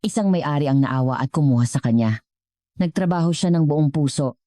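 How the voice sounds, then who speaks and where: A woman's voice: sits low, expressive, fairly quick, mellow, no room sound, clean studio sound. A woman narrating a scripted dramatic story as a storyteller.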